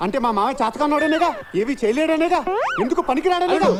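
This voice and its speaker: A man's voice: very high voice, lively intonation, fast, a crowd in the background. A man speaking comic film-style dialogue.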